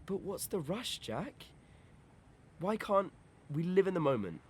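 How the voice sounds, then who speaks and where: A man's voice: very animated, unhurried, husky, heavily acted. A young man playing a carefree, fun-loving teenage lad who talks in a casual, matey way in an amateur audio drama. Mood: defensive, carefree. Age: young adult. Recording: noisy amateur recording, environmental rumble.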